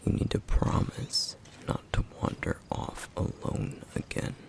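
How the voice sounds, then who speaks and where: A man voicing a stern yet caring flame demon in an ASMR roleplay, speaking in a hushed, gravelly whisper with measured, formal phrasing. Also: noisy background, close to an everyday voice. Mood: calm, instructive. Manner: measured, formal, slightly old-fashioned phrasing.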